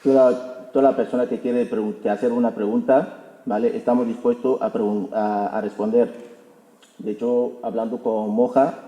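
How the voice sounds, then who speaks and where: A man telling an anecdote to an audience in a halting way, often repeating his words. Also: lowish voice, mellow. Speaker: intercultural mediator presenting the event. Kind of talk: informal public talk with anecdote. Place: auditorium hall. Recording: echoey hall recording.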